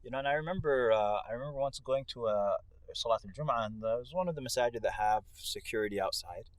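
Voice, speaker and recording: A man's voice: mid-range voice, quick pace, no echo. A man in a casual, reflective religious discussion, explaining his points and building them by repeating phrases. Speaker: co-host and speaker on a ride-along talk show. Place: inside a moving car. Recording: in-car recording with clear vehicle noise.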